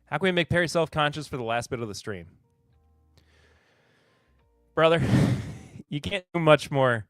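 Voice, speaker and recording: A man from Kentucky chats easily on his live podcast, chuckling as he reads out and answers viewer comments. His voice sits a touch high for a man. Soft music plays faintly behind the dry, close recording. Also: lively intonation, normal speed, no room sound. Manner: laid-back, chuckling, reads chat comments aloud. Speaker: podcast host. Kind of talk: casual live-stream chat.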